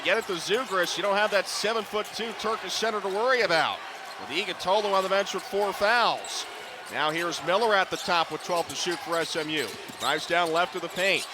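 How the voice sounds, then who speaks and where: Higher-voiced male basketball play-by-play announcer. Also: background noise. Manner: clipped running descriptions, rising to excited calls. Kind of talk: live radio sports commentary. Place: courtside in a basketball arena.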